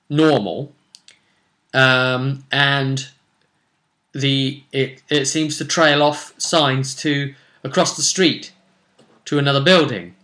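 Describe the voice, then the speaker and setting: Guy with a bright forceful voice recapping his tabletop game, chatty n rambling, lots of asides. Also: medium pitch. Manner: rambling, conversational, with many asides. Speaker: game master and presenter of a role-play recap series. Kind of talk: casual unscripted storytelling, recapping a tabletop game session.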